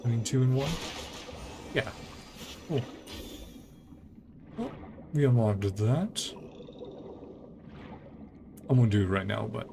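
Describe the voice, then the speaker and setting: A man's voice: medium pitch, lively intonation, slow, creaky, long pauses, noisy background. A man streaming a video game, giving casual, rambling commentary with muttered asides.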